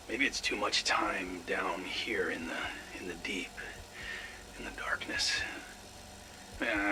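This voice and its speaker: An actor playing a sleepless, paranoid American scientist, rambling nervously and haltingly through a dramatic game audio log. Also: male voice, deep voice, vocal fry, noisy background, heavily acted. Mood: agitated, unsteady.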